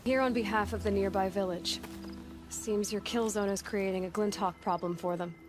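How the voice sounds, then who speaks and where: An actress playing a tough, self-assured young huntress in a video game, speaking in a dry, sardonic way. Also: female voice, mid-range voice, fairly even intonation, normal speed, over music, natural voice. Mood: businesslike. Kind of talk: cinematic game dialogue.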